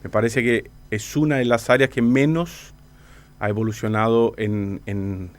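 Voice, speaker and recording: Brazilian guy, native portuguese speaker, mid pitch, explaining stuff in an interview, kinda halting, repeats words. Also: steady tone. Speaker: interview guest, company director.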